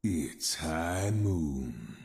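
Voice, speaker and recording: A man's voice: rumbling low, animated, very slow, vocal fry, darkish tone, slightly rough, exaggerated voice. A man playing a grim gunslinger cowboy in a video game, with an ominous drawl. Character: grim male gunslinger cowboy from a hero shooter. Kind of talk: video game character voice line.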